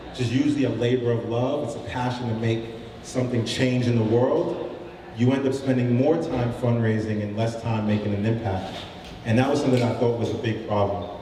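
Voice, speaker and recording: Man, startup founder, informal pitch, dark mellow tone, distant mic, faint room noise. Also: fairly even intonation, fairly quick. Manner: casual, many fillers and restarts. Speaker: startup founder giving a short talk. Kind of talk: informal pitch talk to a live audience. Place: event room with audience. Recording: roomy hall, mic from a distance.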